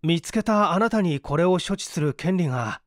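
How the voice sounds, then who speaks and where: A weary young man from a mystery story , acted out by a male reader. He speaks with courteous hesitation and gets flustered easily. His voice is lightly pitched and lively, recorded close and dry. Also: moderate pace, no echo. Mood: awkward, polite. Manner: polite, hesitant. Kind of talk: dramatized audiobook reading.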